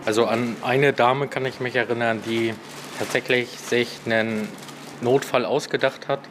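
Male social worker, forties, fairly deep, talking informally on location over noticeable noise. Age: 40s. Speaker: social worker and café organiser. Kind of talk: informal interview answers. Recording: clear ambient background noise.

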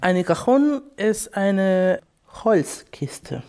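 A woman from Peru, a musician, speaking German, halting and searching for words in a casual interview. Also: mid-range voice, very animated, dark tone, smooth, faint music behind.

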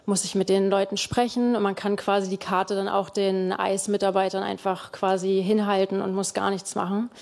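A woman's voice: medium pitch, level tone, normal speed, creaky, few pauses, a little mellow. A young woman from Germany, a guest in an interview, explaining at length with many fillers and restarts. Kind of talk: conversational interview.

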